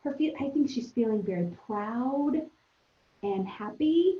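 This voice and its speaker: A woman teacher thinking aloud for young pupils in a conversational, expressive read-aloud lesson. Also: on the high side, unhurried. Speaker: elementary teacher. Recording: roomy, echoing, dull lo-fi sound.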